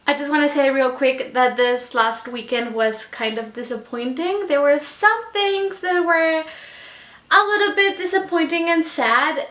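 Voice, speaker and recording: A young Mexican woman with a Mexican accent talks through her reading in a rambling, chatty way, full of "like". Her voice sits high and sounds bright. The room around her is echoey. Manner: chatty, rambling, many "like" fillers. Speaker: book vlogger. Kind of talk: casual reading wrap-up vlog.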